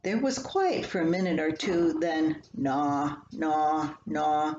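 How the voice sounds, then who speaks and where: An older woman reading her own humorous personal column aloud in a folksy, unhurried storytelling manner. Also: low-pitched, darkish tone. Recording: echoey room, slightly dull, faint hiss.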